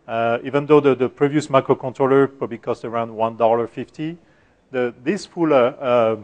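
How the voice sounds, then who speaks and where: A middle-aged man with a French accent and a soft voice, giving a technical conference talk with many fillers and restarts. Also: medium pitch, normal speed, muffled recording. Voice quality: soft-spoken. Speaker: conference speaker. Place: conference room.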